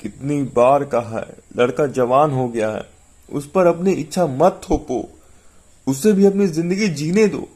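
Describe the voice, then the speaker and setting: A man's voice: medium pitch, unhurried, darkish tone, close to an everyday voice. A man voicing a worried, protective mother and defiant wife, pleading and reproachful in a narrated story.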